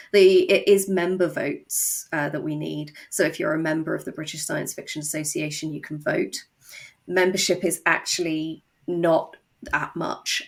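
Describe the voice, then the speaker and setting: A woman with a low voice and plenty of fry speaks as a podcast guest. She explains things casually, pausing mid-sentence as she finds her words. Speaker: audio drama co-creator, podcast guest. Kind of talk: casual podcast interview chat.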